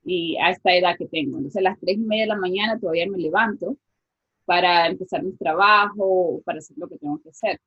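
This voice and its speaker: A woman from Venezuela with a Venezuelan accent, a guest scientist talking casually in an interview and pausing with fillers. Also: fairly low pitch, slightly rough, muffled recording. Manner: reflective, with many fillers like "este" and "o sea".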